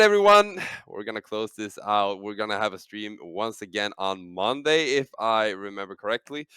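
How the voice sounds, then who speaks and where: A man casting esports in a casual, energetic post-game chat. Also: sits low, smooth, no room sound. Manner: energetic, excitable, loud sign-offs. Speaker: esports caster.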